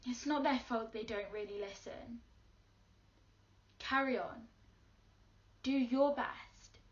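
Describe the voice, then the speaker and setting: An actress playing a lonely schoolgirl caught in the rain, speaking quietly and reflectively in a dramatic monologue. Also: a little high, slow, lo-fi sound. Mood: wistful. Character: soaked lonely schoolgirl in purple coat.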